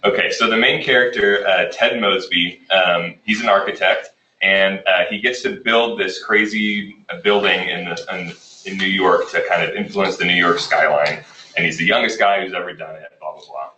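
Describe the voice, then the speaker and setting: A man leading a workshop, a little raspy from a cold, talking in a relaxed conversational way with fillers. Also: low-pitched, normal speed, dull audio, slight background noise. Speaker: workshop presenter, instructional design manager. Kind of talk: workshop presentation. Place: classroom or meeting room. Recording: echoey room, voice from far off.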